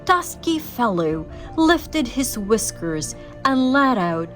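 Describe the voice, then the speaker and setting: A woman giving an expressive, theatrical read-aloud of a rhyming children's story. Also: a little high, slow pace, soft background music. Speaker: storybook reader.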